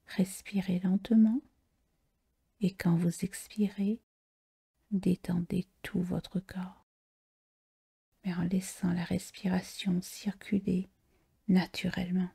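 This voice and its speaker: Female meditation guide: hushed, very soft and mellow delivery, unhurried and soothing, heavy breathiness. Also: lowish voice, flat delivery, vocal fry, slightly rough. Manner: slow, soothing, with long pauses. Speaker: yoga nidra relaxation guide.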